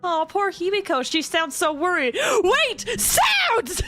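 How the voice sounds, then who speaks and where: An excitable woman livestreaming a game. Her voice is very bright and swings widely, with an unhurried pace between exclamations as she chats casually. Music plays quietly behind her. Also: very high voice. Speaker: streamer. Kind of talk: live game-stream reactions, casual chat with viewers.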